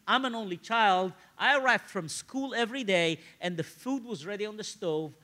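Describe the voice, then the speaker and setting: A man, preaching in an animated, conversational storytelling style and repeating phrases for emphasis. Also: high voice. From Egypt, grew up in Cairo. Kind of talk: sermon with personal storytelling.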